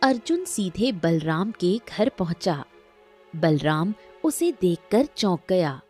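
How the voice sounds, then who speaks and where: A woman narrates a storybook moral tale. She goes very slowly in a medium-pitched, slightly breathy-rough voice, with faint music underneath. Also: big pitch swings, darkish tone.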